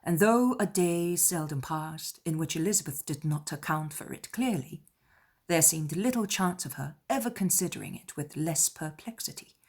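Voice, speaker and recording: Slightly husky woman narrating an audiobook. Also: low voice, lively intonation, moderate pace. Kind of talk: scripted narration of a classic novel.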